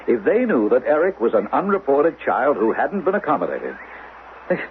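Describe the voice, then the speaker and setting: An actor as an animated, reasoning radio-drama husband. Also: male voice, medium pitch, close to an everyday voice. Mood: reasoning, resolute. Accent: American. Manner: measured, persuasive, with hesitant stammers. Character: reasoning, protective doctor husband hiding a dangerous secret. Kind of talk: 1970s American radio drama acting. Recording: lo-fi radio broadcast with hiss.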